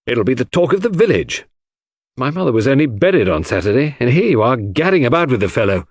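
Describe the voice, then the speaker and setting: A man acts out an angry, wounded husband in an audiobook drama. He sounds indignant and pleading, with widely swinging pitch. The sound is dry and close. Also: big pitch swings, fast talker, breathy edge, no room sound.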